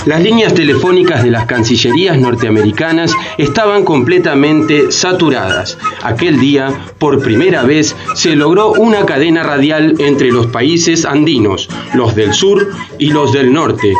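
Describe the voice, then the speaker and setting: A man's voice: on the high side, animated, unhurried, hardly any pauses, highs cut off, music in the background. A man playing the narrator of a radio drama, recounting events as scripted dramatic narration. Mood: narrative.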